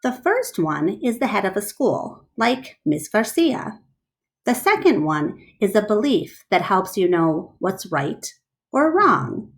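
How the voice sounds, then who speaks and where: A woman's voice: mid-range voice, very animated, slow. A woman reading a storybook, voicing a kindly, patient father with an instructive, correcting manner. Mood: patient, wry. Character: kindly, patient father, adult man, mop-pushing worker.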